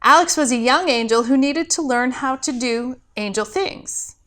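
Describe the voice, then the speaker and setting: Woman, high voice, pastor and host, scripted storytelling. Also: moderate pace, a bit bright.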